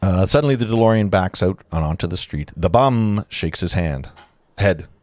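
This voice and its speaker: A low-pitched man reads screenplay directions aloud in a casual read-through. The sound is dry and close, and his delivery is informal, with asides to the others at the table. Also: lively intonation, moderate pace, darkish tone, no room sound. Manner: informal, breaks off to comment and joke. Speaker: narrator reading stage directions at a table read.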